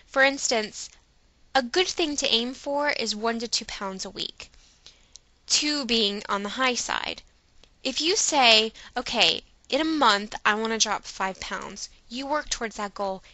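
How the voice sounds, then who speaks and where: A woman's voice: fairly high pitch, a little slow, pushed and bright, no echo. A woman vlogger talking casually and personally, giving earnest, emphatic and encouraging advice.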